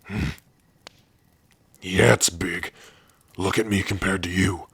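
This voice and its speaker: A man voicing a big, gruff but tender orc warrior in an ASMR roleplay, shy and devoted, speaking haltingly and intimately close to the mic. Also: steady tone, dry recording, heavily acted. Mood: bashful, tender. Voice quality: husky, breathy low voice. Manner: slow, halting, softly intimate. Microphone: close dry microphone.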